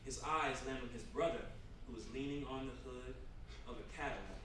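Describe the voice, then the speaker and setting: Measured male narrator in an echoey room. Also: medium pitch. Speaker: narrator reading stage directions. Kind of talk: scripted narration at a staged reading. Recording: very echoey room from far off, clear noise.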